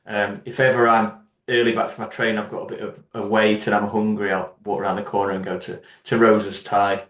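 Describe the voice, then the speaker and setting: A man hosts an interview in an upbeat, chatty way. His voice is on the low side. The sound has a roomy echo. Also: flat delivery, a little fast, a bit bright. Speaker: host. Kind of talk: career interview.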